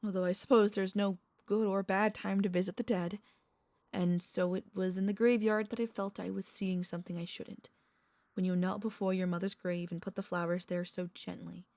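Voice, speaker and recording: A woman playing a tender, anxious, possessive vampire mistress in an intimate ASMR audio roleplay, speaking in soft, formal, pleading phrases with dramatic pauses. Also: mid-range voice, flat delivery, no echo, lightly acted. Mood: wistful, confessional.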